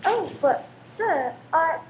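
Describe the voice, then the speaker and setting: A woman's voice: extremely high pitch, animated, slow pace, heavily acted. An actress in a radio play voicing a fussy, anxious landlady who speaks politely and hesitantly. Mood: uneasy. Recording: echoey room, faint noise.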